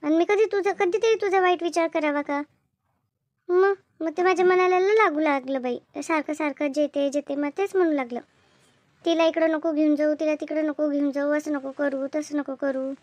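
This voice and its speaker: A woman's voice: sits high, steady tone, moderate pace, a little mellow, dry recording, natural voice. A woman voicing a chatty, bossy yet easily hurt village woman in a cartoon comedy, with rustic, repetitive Marathi talk in a rural Maharashtra accent. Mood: hurt, explaining.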